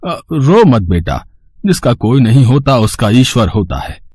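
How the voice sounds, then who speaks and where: A man voicing a kindly old momo seller in an animated moral story, speaking in a gentle, fatherly way. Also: medium pitch, measured pace, slightly rough, dry recording. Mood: consoling, tender.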